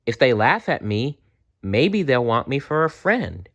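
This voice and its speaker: A man's voice: medium pitch, sing-song, measured pace, fairly bright tone, dull audio, pitched above the performer's own voice, heavily acted. A man voicing a young schoolboy in a children's storybook, sweet, earnest and a little hesitant. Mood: unsure, wistful. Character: young schoolboy, mischievous but sweet and unsure.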